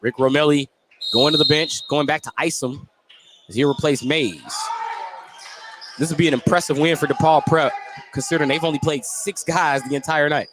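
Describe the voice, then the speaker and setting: An American man doing live play-by-play basketball commentary for radio, getting excited on big plays. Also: on the high side, normal speed. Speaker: play-by-play sports announcer. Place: indoor basketball gym. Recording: noisy live gym broadcast. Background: loud gym ambience.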